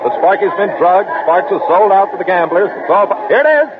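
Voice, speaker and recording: An actor playing a folksy, excitable baseball announcer calling a game in 1940s radio style. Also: male voice, high voice, brisk, very noisy, natural voice. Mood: folksy, grave. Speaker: baseball commentator. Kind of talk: 1940s radio play-by-play commentary. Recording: old tinny radio, loud crowd roar.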